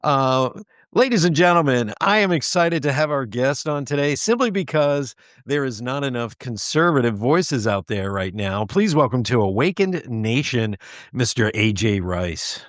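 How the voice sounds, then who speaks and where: A man's voice: mid-range voice, expressive, normal speed, no room sound, studio quality. An American man from Pennsylvania hosting a casual interview in a chummy, enthusiastic way. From Pennsylvania, United States. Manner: chummy, enthusiastic, laughs easily. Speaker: show host.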